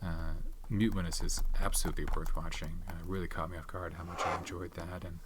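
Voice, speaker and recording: A soft-spoken man in his thirties hosting an ASMR channel, rambling casually about movies with many fillers and some whispered words. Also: low voice, flat delivery, fast, background noise. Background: tapping and keyboard clicks.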